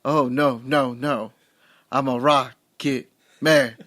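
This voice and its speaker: A young man doing a mock-dramatic spoken word reading on a podcast, drawing out every line slowly. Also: medium pitch, fairly even intonation, fairly bright tone, no echo, studio quality. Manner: slow, drawn-out recitation. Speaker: podcast co-host.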